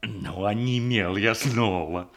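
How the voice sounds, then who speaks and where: An actor playing a jovial, boastful older hussar officer, a grey-moustached widower, in a verse comedy radio play with hearty, teasing declamation. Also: male voice, lowish voice, slow, fairly bright tone. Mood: coaxing.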